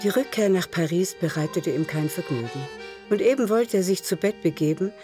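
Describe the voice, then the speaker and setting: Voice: medium pitch, a little fast. A radio drama narrator reads literary prose. The voice sits in a middle register. Faint music runs underneath.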